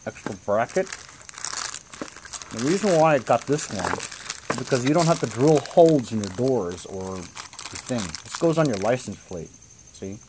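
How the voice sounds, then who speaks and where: A man hosting a repair vlog, talking casually through hands-on work in a halting, thinking-aloud way with frequent "you know" tags. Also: medium pitch, animated, noisy background. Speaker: host of a DIY repair vlog. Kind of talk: casual hands-on tutorial narration.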